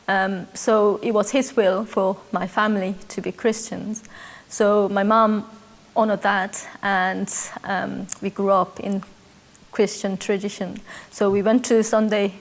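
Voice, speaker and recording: A woman in her forties from South Korea with a Korean accent, speaking English as a second language and calmly telling her life story in an interview with hesitant pauses. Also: medium pitch. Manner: reflective, measured, with hesitant fillers. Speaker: interviewee, church member. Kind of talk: personal testimony interview.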